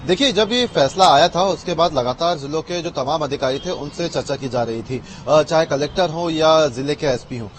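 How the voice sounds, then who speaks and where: Male reporter, notably high voice, halting live analysis, faint background noise. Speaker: news correspondent. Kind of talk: unscripted live news analysis.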